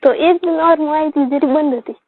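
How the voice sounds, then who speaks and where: A woman's voice: steady tone, brisk, dry recording. A woman with a Rajasthani Marwari accent chatting casually on the phone in short, questioning replies. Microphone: telephone. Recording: muffled low-fidelity phone call recording.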